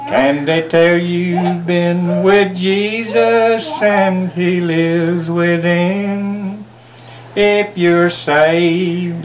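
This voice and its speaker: An older man with a hoarse voice slowly singing hymns from a hymnbook in a small home kitchen, picked up by a home video camera a few feet away. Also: high voice, a little hiss or hum. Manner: slow, plain singing of verses read from a hymnbook. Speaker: family member singing hymns. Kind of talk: unaccompanied hymn singing. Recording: roomy, dull lo-fi home video.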